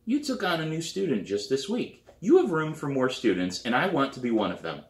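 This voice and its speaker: A man's voice: mid-range voice, big pitch swings, brisk, distant mic, pitched above the performer's own voice. A man voicing an eager, boastful young girl drummer in a storybook reading, pleading and insistent. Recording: roomy, echoey living room.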